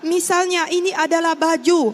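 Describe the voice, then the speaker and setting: Very high-pitched Indonesian woman in a hall. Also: level tone, normal speed. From Riau, Indonesia. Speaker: testimony speaker. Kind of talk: prepared personal testimony speech. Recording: long hall reverb, faint background noise.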